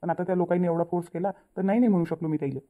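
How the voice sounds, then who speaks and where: A woman's voice: on the low side, steady tone, rapid-fire, natural voice. A woman voicing an apologetic young newlywed son in a cartoon family drama, pleading and explaining himself in a Vidarbha Marathi accent. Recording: tinny phone-like narrow audio.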